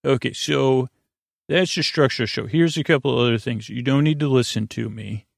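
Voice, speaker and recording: A man from the United States with a gravelly, creaky voice, hosting a bedtime podcast in a halting, meandering, tangent-prone monologue. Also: mid-range voice, no room sound, clean studio sound. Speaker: podcast host.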